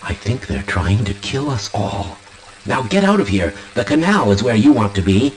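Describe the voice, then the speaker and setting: A man's voice: on the low side, big pitch swings, normal speed, heavy background noise. An actor playing a frightened man in hiding in a video game, speaking in an urgent, curt manner. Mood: fearful, urgent. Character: frightened, jumpy man hiding during a disaster.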